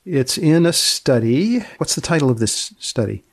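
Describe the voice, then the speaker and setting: A man hosting a podcast interview in a deadpan, conversational way, with a gravelly, husky voice. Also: fairly high pitch, normal speed, dry recording. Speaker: podcast host.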